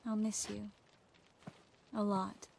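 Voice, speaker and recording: Game role: tender, self-possessed sorceress, medium pitch, unhurried measured lines. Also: female voice, flat delivery, creaky, a little mellow, a little hiss or hum. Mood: wistful. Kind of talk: video game cutscene dialogue.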